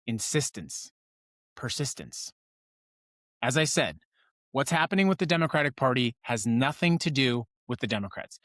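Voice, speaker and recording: A man's voice: medium pitch, a bit bright, no room sound, clean studio sound. An American man hosting a news commentary show, speaking in an emphatic, impassioned monologue that builds through repetition. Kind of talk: political commentary monologue to an audience.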